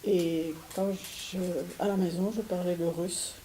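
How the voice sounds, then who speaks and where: Older woman from Syria, mellow and hesitant, faint hiss under the recording. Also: fairly low pitch, steady tone, measured pace, rough recording. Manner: hesitant, with frequent restarts and soft laughs. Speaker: interviewee. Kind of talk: recorded interview.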